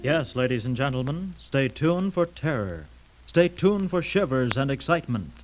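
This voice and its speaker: A man's voice: medium pitch, sing-song, moderate pace, faint music behind. A man announcing a radio program in a dramatic old-time style. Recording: muffled old radio recording.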